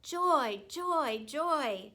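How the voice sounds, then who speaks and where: Small anxious boy hamster for a children's storybook, played by a woman; high, bright, talkative. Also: lively intonation, extremely slow, full character voice. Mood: joyful. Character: small, anxious, chatty male pet hamster. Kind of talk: children's book read aloud.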